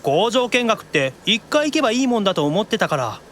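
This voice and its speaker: An actor playing a youthful, excitable demon younger brother in an anime audio drama, casual and expressive in his reactions. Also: high-pitched, fairly quick, slight background noise. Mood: curious.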